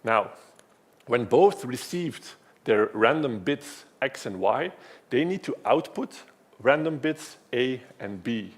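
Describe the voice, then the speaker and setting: A man's voice: mid-range voice, expressive, slow pace. A man giving a live technical talk at a conference, explaining step by step in a self-deprecating way with frequent restarts and fillers. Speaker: conference speaker.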